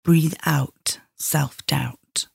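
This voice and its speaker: A middle-aged woman leading a guided meditation in a soothing, measured, instructing manner. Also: low voice, steady tone, vocal fry, darkish tone, husky, dry recording, clean studio sound.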